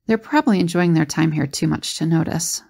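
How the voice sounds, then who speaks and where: A woman's voice: low-pitched, a little fast, gravelly, darkish tone. A woman playing a sensible, perceptive, worried adventurer in an audio drama. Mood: puzzled.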